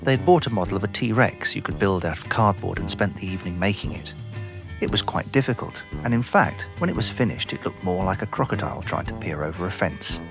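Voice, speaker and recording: A man's voice: low-pitched, animated, a little fast, gravelly. A man narrating a scripted children's story. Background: music.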